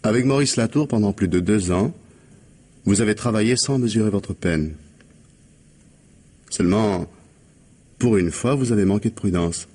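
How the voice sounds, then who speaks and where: An actor playing a stern, implacable police investigator in 1950s French radio mystery style, commanding and deliberate, with a husky voice. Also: male voice, lowish voice, a little mellow. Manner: commanding, deliberate, articulate, dictating orders.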